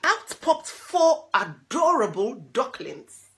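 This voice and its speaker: A woman reading a children's picture book aloud in an expressive, dramatic way, with little asides to the listeners. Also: high-pitched. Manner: dramatic, animated, with drawn-out repeated words.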